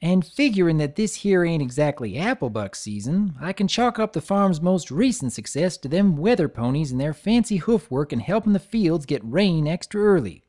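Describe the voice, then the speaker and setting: Man putting on a Southern-drawl farm pony mare, folksy, earnest, higher pitch, lively lilt. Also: moderate pace, hardly any pauses. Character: honest hardworking country farm pony mare.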